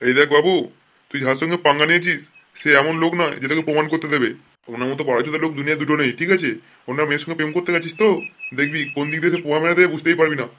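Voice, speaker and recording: A man's voice: fairly high pitch, fairly even intonation, racing, noisy background, lightly acted. A man voicing brash, bullying cartoon rogues, crooked cops and jailbirds, in crude hectoring slang. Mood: warning. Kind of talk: fast comic cartoon dialogue in colloquial Bengali slang.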